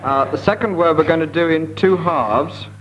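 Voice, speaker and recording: A man's voice: fairly high pitch, normal speed. A man bantering as a panellist in a comedy game. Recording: dull noisy live recording.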